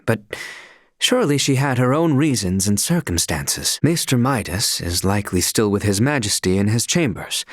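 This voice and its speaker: An actor voicing an earnest, stern young knight in a video game, with formal, archaic and stiffly proper diction. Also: male voice, medium pitch, no room sound, clean studio sound.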